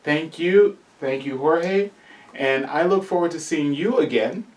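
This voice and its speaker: A man hosts a small talk show. He chimes in with brief affirmations and wraps up with a friendly sign-off. His voice sits back from the microphone in a room with a clear echo. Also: fairly high pitch, a little slow. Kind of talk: casual interview hosting. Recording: roomy, distant from the mic.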